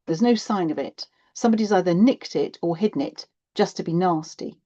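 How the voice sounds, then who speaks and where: Audiobook role: indignant thirteen-year-old girl, played by a woman, sulky and complaining, soft-edged tone, slightly muffled recording. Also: fairly low pitch, fairly quick, natural voice. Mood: aggrieved. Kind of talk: acted children's audiobook dialogue.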